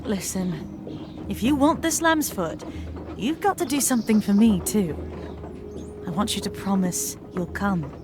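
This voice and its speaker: A woman's voice: mid-range voice, expressive, measured pace, long pauses, over music, close to an everyday voice. A woman playing a young female rabbit rebel, passionate and insistent, in a fantasy video game. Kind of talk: dramatic video game dialogue.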